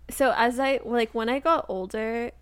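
A woman's voice: on the high side, normal speed, clean tone, dry recording. A young American woman in casual chat, rambling and filling her talk with "like.". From United States. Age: young adult. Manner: rambling, heavy use of "like".

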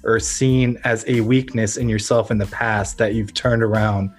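A man's voice: on the low side, steady tone, normal speed, faint music behind. A man with an American accent talking earnestly and reflectively as the host of a conversational podcast interview.

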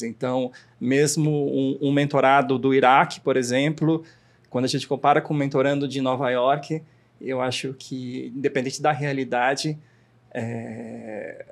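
Man, gravelly, mentor, hesitant, many restarts, conversational. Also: medium pitch, darkish tone. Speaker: mentor and guest. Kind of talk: panel conversation.

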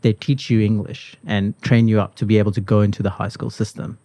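A man's voice: lowish voice, brisk, no echo. Malaysian-raised man telling stories. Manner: relaxed storytelling with many fillers. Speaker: guest. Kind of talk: casual conversation.

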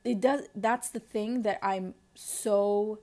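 A young woman in her twenties with a Lebanese accent, hosting a personal diary-style podcast and talking candidly and introspectively, often pausing to search for words. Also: mid-range voice, steady tone, a little mellow. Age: 20s. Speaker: podcast host.

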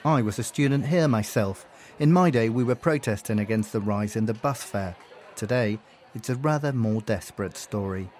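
A man, a foreign correspondent, narrating a scripted radio news report in a calm, measured way. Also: on the low side.

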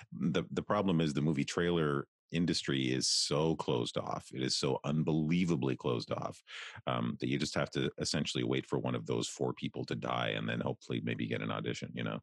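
A Canadian man who works as a voice actor, talking casually in an interview with a deep, resonant voice. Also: gravelly, dry recording, studio quality. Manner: relaxed, chatty, with frequent restarts. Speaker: voice actor guest.

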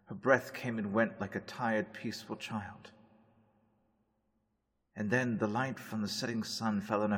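Husky man narrating a radio drama. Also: a bit bright. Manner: low, measured reading of a journal. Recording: long reverb, faint music.

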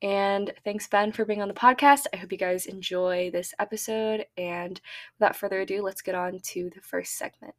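A woman's voice: medium pitch, fairly even intonation, normal speed, a bit bright. Chatty young woman hosting a podcast. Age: young adult. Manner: chatty, filler-heavy, upbeat. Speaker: podcast host. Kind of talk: casual podcast monologue.

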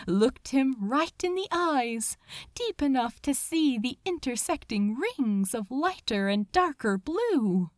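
A woman narrates fiction in a high, expressive voice. She takes her time, yet keeps an urgent, emotive edge. The sound is close and dry. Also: no echo. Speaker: fan fiction reader. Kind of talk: dramatic scripted story narration.

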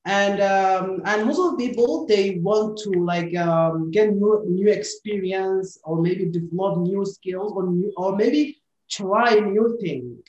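A man's voice: high-pitched, takes it slowly, darkish tone, dull audio, slight background noise. Hesitant young man in a roomy space. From Somalia. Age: young adult. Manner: hesitant, many fillers and restarts. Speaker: student volunteer guest. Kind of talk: casual podcast discussion. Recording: roomy echoey recording.

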